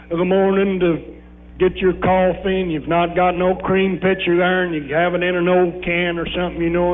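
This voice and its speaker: A high-pitched man works an auction in a rural southern Indiana accent. He is brash and folksy. The sound is a dull 1960s film track. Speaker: country auctioneer. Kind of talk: auction sales pitch and bid chant. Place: country auction barn.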